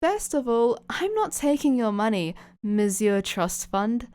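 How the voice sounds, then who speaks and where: A woman voicing a feisty, easily flustered young woman, animated and affectionately scolding, in an audio fan fiction. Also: a little high, unhurried, no echo, clean studio sound. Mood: teasing.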